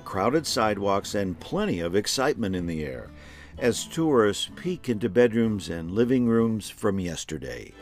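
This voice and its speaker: A man's voice: on the low side, very animated, normal speed. A man narrating a scripted documentary in a folksy, conversational storytelling way. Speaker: narrator and host. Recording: music behind narration.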